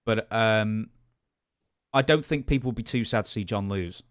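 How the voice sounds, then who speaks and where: A man's voice: lowish voice, moderate pace, smooth, no echo, studio quality. A man hosting a podcast, chatting casually about wrestling and steering the conversation. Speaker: podcast host.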